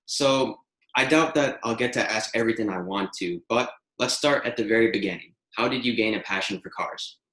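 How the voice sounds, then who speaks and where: Low-voiced host in a roomy space. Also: male voice, a little fast, a bit bright. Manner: prepared, methodical delivery. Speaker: talk show host. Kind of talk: scripted intro and interview questions.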